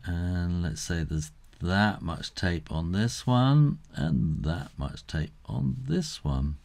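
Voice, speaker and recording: A middle-aged man giving a casual step-by-step drawing tutorial, unhurried and thinking aloud as he works. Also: low voice, expressive, creaky, darkish tone.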